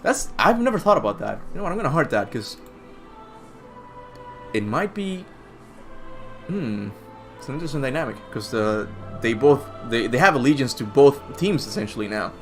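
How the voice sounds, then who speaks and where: A man's voice: mid-range voice, animated, normal speed, long pauses. Rambling young man answering viewer questions. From Cuba. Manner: rambling, self-deprecating, laughs at own slips. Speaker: gaming channel host answering viewer questions. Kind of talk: casual livestream Q&A chat. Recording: faint music behind.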